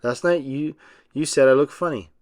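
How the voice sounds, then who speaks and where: A man reading aloud and voicing a dim, stubborn adult male starfish who talks slow and dopey. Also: medium pitch, dry recording, studio quality, close to an everyday voice.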